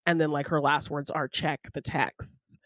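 A woman's voice: low-pitched, flat delivery, measured pace, fairly bright tone, no echo, clean studio sound. A woman co-hosting a podcast, casually retelling a film in an informal, conversational way. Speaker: podcast co-host.

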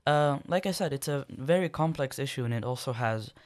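A young man from Sudan, a podcast guest, answering questions in a thoughtful, measured way with many pauses. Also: mid-range voice. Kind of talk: conversational interview answers.

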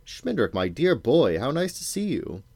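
A man's voice: medium pitch, sing-song, normal speed, creaky, darkish tone, breathy edge, dry recording, voice pushed up, full character voice. A man voicing a genial but condescending old wizard in a storybook, with a silky, purring, mock-affectionate manner. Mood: falsely delighted.

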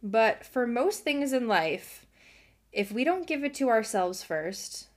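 A young adult woman hosting a self-help podcast, earnest and encouraging, her voice slightly hoarse from a cold. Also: on the high side, sing-song, takes it slowly. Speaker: podcast host.

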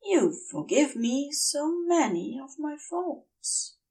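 A woman voicing a gentle, adoring male celestial being, earnest and tender in a story reading. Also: high-pitched, sing-song, takes it slowly, distant mic, pitched above the performer's own voice, full character voice. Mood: earnest, emotional.

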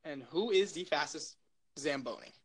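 A young man with a loud, projecting voice, rambling jokily through a casual chat as co-host. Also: a little high, lively intonation, moderate pace. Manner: rambling, filler-heavy, jokey.

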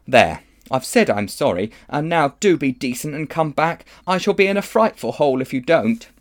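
Earnest storybook schoolboy, acted by a man, pleading, anxious, raised pitch, lively swings. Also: normal speed, lightly acted. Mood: grudging apology. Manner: pleading, old-fashioned schoolboy talk. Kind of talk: audiobook character acting.

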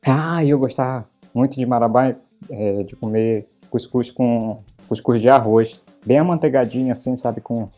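A man's voice: mid-range voice, moderate pace, warm tone, lo-fi sound, faint music behind. A man from Belém with a Belém accent, hosting a casual podcast chat and telling stories with lots of fillers. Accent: Belém Paraense Portuguese. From Belém, Brazil. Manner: chatty storyteller, many fillers. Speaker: podcast host.

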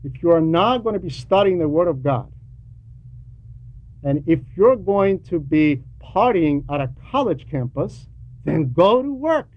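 Slow, deliberate male preacher, muffled recording. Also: fairly high pitch, lively intonation. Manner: emphatic, deliberate phrasing with pauses. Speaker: preacher reading a translated homily. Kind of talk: sermon. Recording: dull lo-fi recording with background noise.

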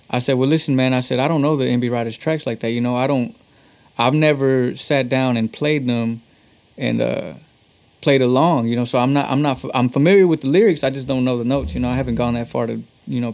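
A man's voice: medium pitch, creaky, a little mellow, lo-fi sound. A man from Texas, a musician being interviewed, telling stories casually in slangy, profane, conversational language. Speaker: musician guest, talk box player.

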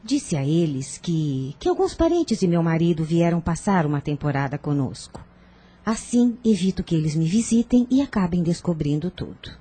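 An actress playing a discreet, protective middle-aged aunt in a radio soap, with a measured, formal, confiding delivery. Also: female voice, fairly low pitch, big pitch swings, warm tone, slightly rough. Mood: grave, confiding. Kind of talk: radio soap opera acting.

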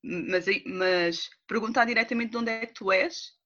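A young woman with a Lisbon accent speaks as an interview guest, earnest and insistent, circling back to her point. Her voice is bright and sharp. The sound is dull and lo-fi. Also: mid-range voice, normal speed. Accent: Lisbon Portuguese. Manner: earnest, repeats herself to make a point. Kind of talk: casual conversation. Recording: dull lo-fi recording.

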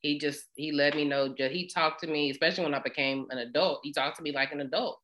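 A woman in her late thirties chatting candidly and laughing often, telling animated stories in a relaxed conversation. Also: low voice, quick pace. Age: 30s. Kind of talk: casual chat.

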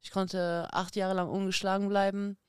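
Chatty woman with a smooth, clear voice. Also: medium pitch, dry recording, clean studio sound. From Kazakhstan, raised in Germany. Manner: lively, chatty, quick with fillers and restarts. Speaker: podcast guest, former boxing world champion. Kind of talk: casual podcast interview.

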